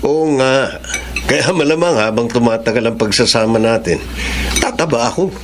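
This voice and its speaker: A man acts as an insecure, playful Filipino lover in a radio drama. His mid-pitched voice swings in lively contours over a noisy background with clinking dishes. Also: normal speed, natural voice. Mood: playful, content. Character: playful, insecure male lover of a separated wife.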